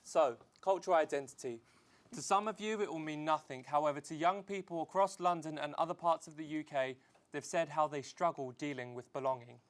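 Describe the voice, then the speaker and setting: Upbeat young male presenter, fairly high voice. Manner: upbeat, slightly halting. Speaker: host. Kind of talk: studio presenting.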